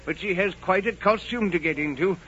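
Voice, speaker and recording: A man's voice: sits high, a little fast, bright, forceful tone. A man playing an easygoing, placating middle-aged father in a 1940s radio drama. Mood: placid, soothing. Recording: muffled, noisy old 1940s radio recording.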